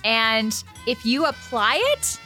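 A woman's voice: fairly high pitch, slow, bright, forceful tone, faint music behind. A Canadian woman co-hosting a casual chat and quiz, informal and playful in manner. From Calgary, Canada. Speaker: co-host.

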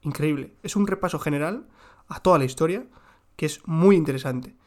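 A man's voice: fairly high pitch, brisk. Joking, slangy man reviewing books. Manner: joking, slangy, swears casually. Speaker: book reviewer. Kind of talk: casual book recommendations. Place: home with some room echo. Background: construction work next door.

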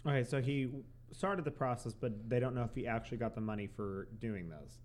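A man's voice: mid-range voice, fairly quick, darkish tone, slight background noise. A man co-hosting a podcast in casual chat, teasing and laughing often. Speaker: podcast co-host.

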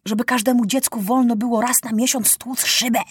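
A woman voicing a crowd of unruly children calling out excited demands one after another. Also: a little high, fairly quick, husky, dry recording, studio quality.

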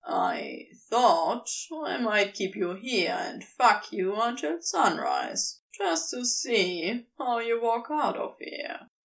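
A woman's voice: a little high, very animated, slow pace, creaky, far from the mic, heavily acted, low-quality recording. A woman voicing a cocky, teasing male demon lover in a casual, rambling way for an audio story. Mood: seductive, teasing.

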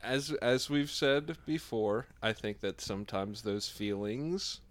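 A man co-hosting a casual podcast chat, deliberate and earnest, choosing his words carefully. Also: on the low side, dry recording. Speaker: podcast host.

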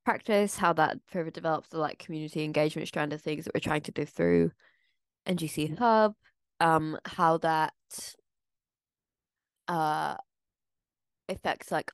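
A woman's voice: deep voice, fairly even intonation, moderate pace, smooth, no echo. A woman co-hosting a casual podcast, a theatre maker talking through her plans in a thoughtful, explaining way. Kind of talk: casual chat.

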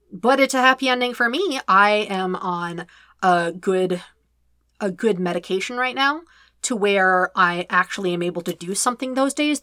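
A woman's voice: fairly low pitch, sharp tone, dry recording, studio quality. A woman reading podfic, giving a candid, rambling afterword with many fillers. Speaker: podfic reader. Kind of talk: casual spoken afterword.

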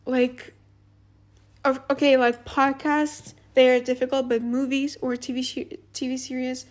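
Woman, high voice, chatty podcast host, laughs a lot, says like all the time. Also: a little slow. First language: Russian. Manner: chatty, animated, laughs often, many "like" fillers. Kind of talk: casual advice monologue.

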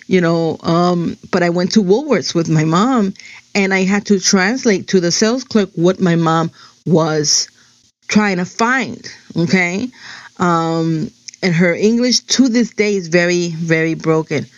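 A woman's voice: lowish voice, a little slow, no room sound, dull audio. A middle-aged woman from New Jersey, whose first language is Spanish, talks in a chatty, digressive personal monologue full of "um" and "you know". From New Jersey, USA.

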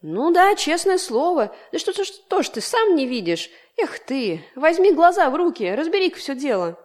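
A woman's voice: high-pitched, sing-song, a little slow, dark tone, voice pushed up. A woman voicing a pompous old male horsefly uncle who grumbles and scolds his nephew. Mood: scolding.

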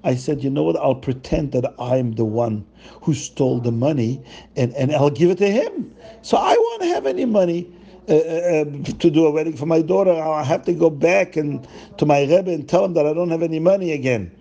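Meek stammering old holy man, a man putting him on, gravelly, slightly raised. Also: on the high side, lively intonation, moderate pace, warm tone, dull audio. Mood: earnest.

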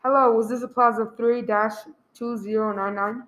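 A woman playing a courteous, formal telephone clerk in a radio play, speaking in a measured, official way. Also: fairly high pitch, steady tone, a bit bright, natural voice, low-quality recording. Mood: businesslike.

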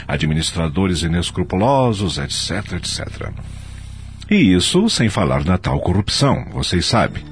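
A smooth formal exec in a radio soap, really low voice, animated pitch, speechifying. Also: male voice. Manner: formal, oratorical, smooth-talking. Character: self-justifying male company executive running a board meeting. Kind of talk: radio soap opera acting.

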